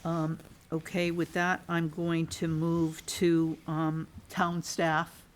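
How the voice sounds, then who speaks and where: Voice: medium pitch, monotone, slow pace, slight background noise. A committee chair running a town meeting, hesitant and full of fillers.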